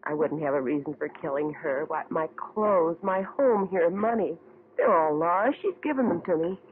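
A woman's voice: fairly low pitch, moderate pace, a little hiss or hum, natural voice. An actress playing a haughty, fretful American woman in her thirties, defensive under questioning, in an old-time radio drama. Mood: distraught, pleading. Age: 30s. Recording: muffled tinny vintage radio, faint music.

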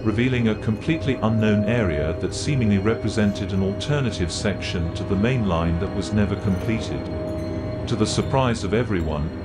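Guy with lowish voice doing factual documentary horror narration, music under it.

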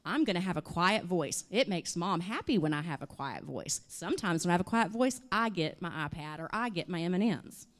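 A woman's voice: on the low side, brisk, hardly any pauses. Woman presenter with handheld mic. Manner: animated, conversational, uses "you know" and "I mean". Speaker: conference presenter. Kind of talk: workshop talk with audience questions. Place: hotel conference room. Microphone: handheld microphone.

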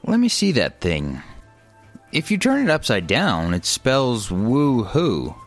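A man's voice: medium pitch, huge pitch swings, takes it slowly, faint music behind, exaggerated voice. A man playing a wry, laid-back companion in a comedy radio play. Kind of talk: comedic radio theater acting.

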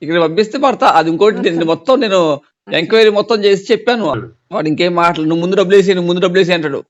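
A man's voice: high-pitched, very fast. A man with a Telugu accent giving casual advice on a phone call, interjecting knowingly and repeating phrases. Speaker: phone adviser warning about fraud. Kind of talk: casual phone conversation, advising. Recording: dull lo-fi recorded phone call.

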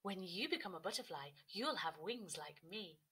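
A friendly ladybird from a picture book, voiced by a woman, bright and animated. It sounds upbeat, reassuring and encouraging. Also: on the low side, normal speed, husky, voice pushed down, exaggerated voice. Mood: excited.

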